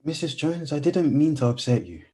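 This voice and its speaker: A man's voice: fairly high pitch, big pitch swings, normal speed, mellow. A man playing a polite, reassuring young family friend in a naturalistic radio drama, with understated, soothing replies. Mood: considerate.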